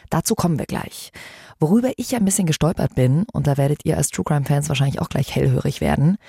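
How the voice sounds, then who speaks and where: Female podcast host, husky with vocal fry, conversational crime presenting, engages listeners with questions. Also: low voice, a little mellow, no room sound, studio quality.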